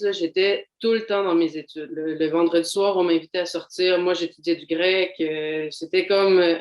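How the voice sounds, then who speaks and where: Young adult Québécoise woman, candid and halting testimony, lo-fi echoey call sound. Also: lowish voice, a little mellow. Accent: Québécois French. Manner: candid, reflective, halting with frequent fillers. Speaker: doctoral student giving a faith testimony. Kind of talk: personal testimony in a video seminar.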